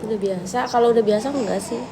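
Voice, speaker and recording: A young woman with a cold, chatting casually with viewers on a livestream, relaxed and thinking aloud. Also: a little high, fast talker. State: has a cold, coughing. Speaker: livestream host answering viewers. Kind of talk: casual live chat reading viewer questions. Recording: noisy livestream audio.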